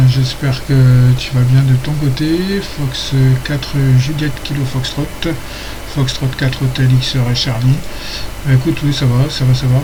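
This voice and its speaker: A man running an amateur radio net, chatting casually and haltingly between spelled-out call signs. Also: medium pitch. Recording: clearly noisy amateur radio recording.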